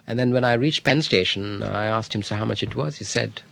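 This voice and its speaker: A middle-aged man, a history professor telling an anecdote in an interview, thoughtful, with many fillers and pauses. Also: on the low side, creaky, a bit bright, slight background noise. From India. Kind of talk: interview, reflective storytelling.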